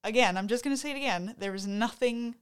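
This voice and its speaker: A woman from Canada co-hosting a podcast, talking excitedly in casual chat and often interrupting herself. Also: a little high, fast, smooth. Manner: excited, chatty, self-interrupting. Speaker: podcast co-host.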